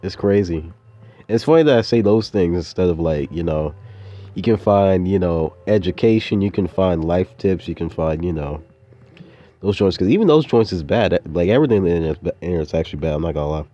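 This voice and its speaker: A man's voice: low voice, lively intonation, a little fast, mellow. A young adult man rambling casually in a laid-back, slangy, meandering way, like an informal audio diary. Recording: dull, muffled lo-fi audio.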